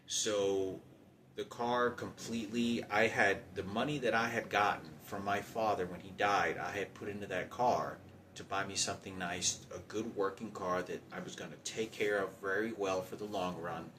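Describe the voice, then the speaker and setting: A man giving an unscripted personal monologue about his own hardships, halting and often circling back to repeat himself. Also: lowish voice, fairly even intonation, fairly bright tone, slight background noise. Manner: halting, repetitive, circling back over points. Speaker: storyteller recounting personal hardship.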